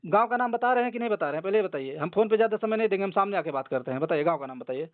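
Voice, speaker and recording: High-pitched, rapid-fire man arguing by phone. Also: lively intonation, no room sound. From Uttar Pradesh, India. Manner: confrontational, insistent, interrupting, repeats himself. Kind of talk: heated phone argument. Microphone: telephone. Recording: narrowband recorded phone call.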